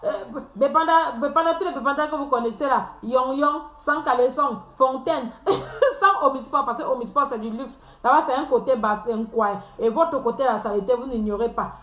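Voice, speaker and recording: High-voiced woman ranting with laughter. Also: moderate pace, few pauses, a bit bright. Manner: teasing, laughs often, repeats phrases. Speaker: live stream host. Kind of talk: mocking live-stream rant. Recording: echoey room.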